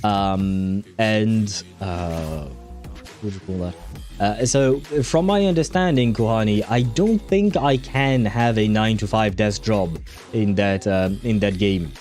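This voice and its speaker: Guy with a low mellow voice rambling on stream, kinda halting, faint music behind him. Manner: rambling, halting, thinking aloud. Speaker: livestream host. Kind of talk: casual livestream chat over a game.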